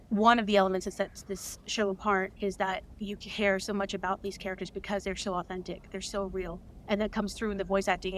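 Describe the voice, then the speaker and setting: A woman's voice: mid-range voice, slight background noise. A woman talking casually as an interview guest, explaining things in an earnest manner. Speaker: interview guest, director and writer.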